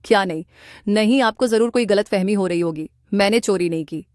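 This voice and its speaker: A woman's voice: medium pitch, a little fast, a little mellow, dry recording, clean studio sound, lightly acted. A woman voicing an emotional, concerned wife with a guilty, pleading manner in a cartoon. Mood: defensive. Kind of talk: Hindi cartoon voice acting.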